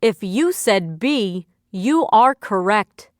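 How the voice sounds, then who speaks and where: A woman's voice: mid-range voice, lively intonation, slow, no echo, studio quality. A woman reading a scripted vocabulary quiz for learners in a carefully phrased, instructional way. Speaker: vocabulary teacher.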